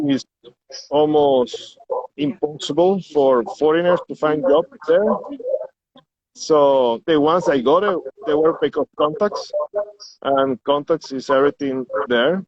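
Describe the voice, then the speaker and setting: A man's voice: a little high, dry recording. Venezuelan man speaking English, muffled and dry. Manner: hesitant, repeats words while searching for English. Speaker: interview guest. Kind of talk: casual interview.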